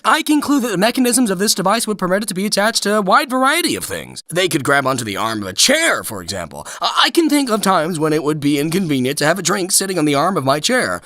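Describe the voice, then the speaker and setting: A man's voice: sits high, sharp tone, dry recording, studio quality. A man giving a comedic dramatic reading in a mock-formal, sarcastic, emphatic manner.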